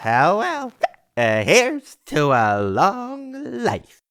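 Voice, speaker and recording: A man's voice: on the high side, huge pitch swings, drawn-out words, fairly bright tone, smooth, exaggerated voice. A man playing a chummy, cocky drunk brother in an audio drama, with a drawling, slurred swagger. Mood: drunken glee.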